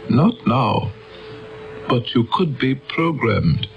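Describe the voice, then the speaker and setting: An actor playing a cold, commanding elder of a futuristic council in a solemn radio drama style. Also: male voice, medium pitch, sing-song, creaky, faint music behind, heavily acted. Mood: grave, implacable. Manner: solemn, deliberate. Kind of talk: radio mystery drama acting. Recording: dull old radio broadcast, some noise.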